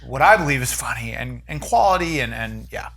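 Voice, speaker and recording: A man's voice: medium pitch, lively intonation, brisk, vocal fry, a bit bright. A man hosting a casual chat with his wife, playful and rambling, joking around. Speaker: host.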